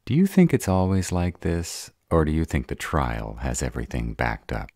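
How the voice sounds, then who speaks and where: A man plays a short-tempered were-dragon with an extremely deep, creaky growl of a voice. He is gruff, sarcastic and protective, grumbling with wide swings in pitch, in an audio roleplay. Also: huge pitch swings, normal speed, a little mellow, dry recording, clean studio sound, full character voice. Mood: grumbling, weary. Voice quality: deep, gravelly.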